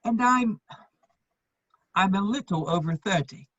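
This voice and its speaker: A woman playing a charming, lovestruck gentleman in his thirties in a comedy of manners, witty and earnestly pleading, on a muffled recording. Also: mid-range voice, animated, measured pace, dry recording. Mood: relieved affection. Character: foppish, lovestruck gentleman just over thirty, charming wit. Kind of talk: stage comedy of manners, dramatic reading.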